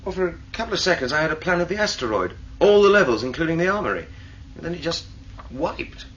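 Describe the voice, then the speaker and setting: A man's voice: a little high, very animated, fast talker, a bit bright, a little hiss or hum. An actor playing a practical male spaceship crewman in a sci-fi radio drama, dry and matter-of-fact. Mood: puzzled, frustrated. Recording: muffled old radio recording.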